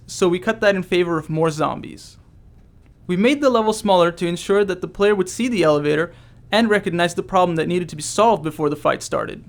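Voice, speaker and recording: A man giving scripted commentary as a game developer. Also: high voice.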